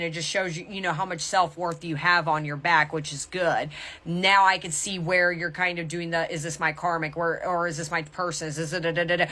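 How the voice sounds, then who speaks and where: Low-pitched woman giving a casual tarot reading. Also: bright, forceful tone. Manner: candid, conversational, emphatic asides. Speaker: tarot reader. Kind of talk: casual intuitive reading addressed to viewers.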